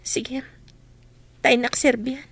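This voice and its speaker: A woman's voice: high-pitched, little pitch movement, takes it slowly, breathy edge, dry recording, full character voice. A woman playing a meek, troubled and prayerful character in an Ilocano-accented radio drama. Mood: sad, grateful.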